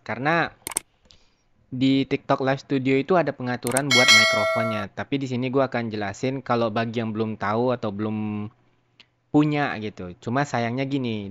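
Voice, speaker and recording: Guy doing a chill software tutorial, slangy and chatty, mid-pitched voice. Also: dull audio, slight background noise. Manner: informal, slangy, chatty, addressing viewers. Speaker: tutorial YouTuber.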